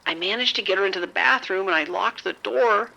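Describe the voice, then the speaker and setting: Male actor as a panicked, weakening bitten man in horror drama; high, gravelly, halting delivery. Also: pushed and bright, muffled recording, full character voice. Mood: shaken, distressed. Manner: halting, whispery, repeating himself. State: sick, weak, faltering. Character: frightened, sickening bitten man, unravelling and rambling. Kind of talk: horror audio drama phone call.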